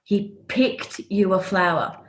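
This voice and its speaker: A woman's voice: medium pitch, slow pace, reverberant, close to an everyday voice, poor audio. A woman acting a nosy, blunt, teasing friend in a radio play, probing and exclamatory. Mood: mocking.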